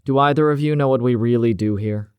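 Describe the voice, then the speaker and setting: A man's voice: mid-range voice, fairly quick, darkish tone, smooth, no room sound, voice pushed up, natural voice. A man playing a bullying woman scientist. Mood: lecturing. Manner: indignant and lecturing. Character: stocky, bullying middle-aged woman scientist.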